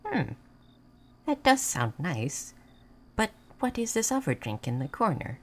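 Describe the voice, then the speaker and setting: A woman playing a gentle, naive moth person in a roleplay audio, speaking in halting, simple, formal phrases. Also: fairly low pitch, big pitch swings. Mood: curious, hesitant. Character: gentle, naive, curious moth person learning human things. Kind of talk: scripted roleplay audio addressed to the listener.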